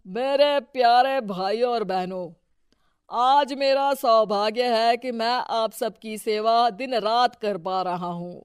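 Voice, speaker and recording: A woman's voice: fairly high pitch, slow pace, clear, resonant tone, no room sound, clean studio sound. A woman voicing a corrupt, bossy male local politician in a cartoon story, with a pompous, commanding manner. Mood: pompous oratory. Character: domineering, corrupt male village-politician husband, smug and bossy. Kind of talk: scripted cartoon story dialogue.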